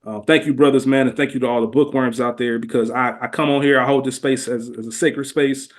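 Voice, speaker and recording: A man's voice: medium pitch, steady tone, a little fast. A man speaking as a podcast guest, casual and heartfelt, with earnest, slangy phrasing that often leans on "man.".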